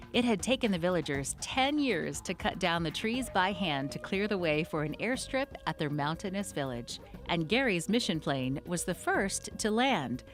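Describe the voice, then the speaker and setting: A woman reading a scripted mission story in a lively storytelling manner. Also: on the low side. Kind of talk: scripted narration.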